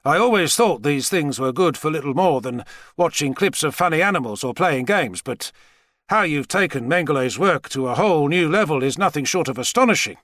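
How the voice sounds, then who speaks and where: A man's voice: fairly high pitch, lively intonation, fairly quick, fairly bright tone, dry recording, studio quality, pitched above the performer's own voice. A man voicing a brash, megalomaniac president with a boastful, folksy manner in an audiobook thriller. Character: American president, brash, heavy-set, megalomaniac schemer.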